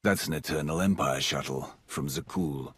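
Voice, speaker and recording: Dark Sith warrior for a video game: rock-bottom pitch, husky gravel, curt and ruthless. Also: male voice, normal speed, no echo, exaggerated voice. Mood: cold, determined. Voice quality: gravelly, very deep. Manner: terse, commanding. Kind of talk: dramatic video game acting.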